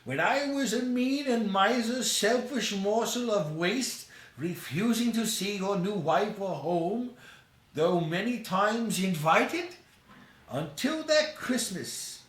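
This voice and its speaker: A man voicing a cantankerous, remorseful old miser in a storybook reading, with drawn-out theatrical phrasing. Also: high voice, expressive, fairly bright tone, echoey room, pitched above the performer's own voice, full character voice. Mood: bitter, self-reproachful.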